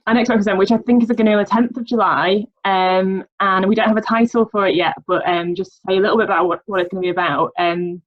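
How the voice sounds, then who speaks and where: A woman from a steering group announcing an upcoming event over a video call, speaking in a hesitant, measured way. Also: mid-range voice, fairly even intonation, no room sound, dull audio.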